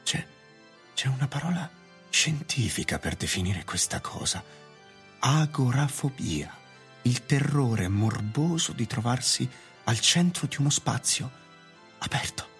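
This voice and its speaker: A nervous gentleman doctor in a radio play. His mid-pitched male voice halts, repeats itself and stammers, with soft music behind. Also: expressive, a little slow. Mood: anxious, shaken. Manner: hesitant, stammering, coughing. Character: middle-aged doctor, anxious, homebound gentleman of future.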